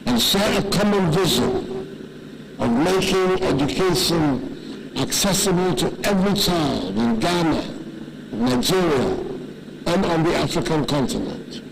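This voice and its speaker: A man giving a formal, measured speech at a ceremony, solemnly quoting scripture. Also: a little high, expressive, creaky, background noise. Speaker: guest speaker at a ceremony.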